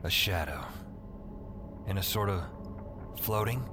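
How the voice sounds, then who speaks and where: A man's voice: low voice, a little slow. A man playing a wry, rattled 911 dispatcher in an audio drama, with a sardonic, conversational manner. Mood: wry, soothing. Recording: loud music under the voice. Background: loud dramatic music.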